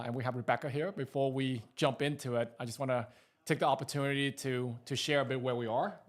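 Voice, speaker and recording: A man hosting an interview in a studio, talking with many fillers and repeated words. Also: medium pitch. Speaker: interview host.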